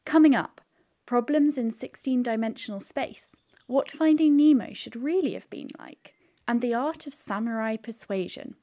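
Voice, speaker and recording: A woman's voice: on the high side, moderate pace, warm tone. Woman host introducing a podcast. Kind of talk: scripted show introduction.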